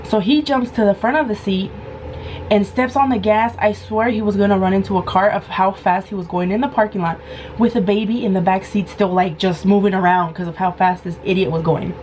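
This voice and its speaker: Hoarse woman telling a story. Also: medium pitch, a little fast, a little hiss or hum, low-quality recording. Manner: animated, acts out quoted lines. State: hoarse from a sore throat. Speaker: vlogger. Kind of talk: casual storytime.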